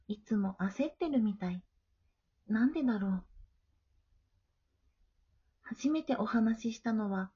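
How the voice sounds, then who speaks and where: A woman playing a gentle, studious middle-school girl in an amateur voice drama, friendly and polite. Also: on the high side, husky, muffled recording. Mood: reflective.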